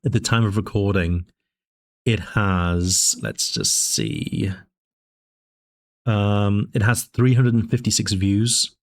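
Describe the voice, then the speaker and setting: A man with a fairly low voice talks through his arguments in a measured, discursive way. He often pauses on fillers and restarts his phrases. His voice sounds a little dry as he speaks to his channel's viewers. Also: lively intonation, gravelly. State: dry voice, sipping tea. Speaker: political commentary channel host. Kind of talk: casual spoken commentary.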